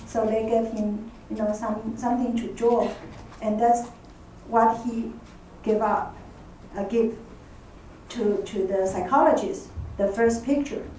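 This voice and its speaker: A woman's voice: on the high side, dark tone. A woman with a Taiwanese accent gives an academic conference presentation as a professor, hesitating and repeating phrases as she searches for words. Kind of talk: conference presentation, semi-spontaneous. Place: echoey conference room. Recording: echoey room, distant mic, faint noise.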